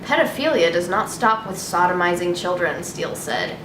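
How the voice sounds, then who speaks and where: A woman's voice: animated, moderate pace, distant mic. A young woman hosting a casual show, reading news aloud with irreverent, sarcastic, sweary commentary. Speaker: host. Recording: echoey room with noticeable rumbling noise.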